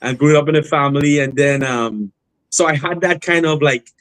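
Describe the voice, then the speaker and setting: A man, a guest singer on a remote call, telling his story in a relaxed, rambling way with many fillers and restarts. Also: a little high, brisk, no echo, poor audio. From Trinidad and Tobago. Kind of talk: casual interview storytelling.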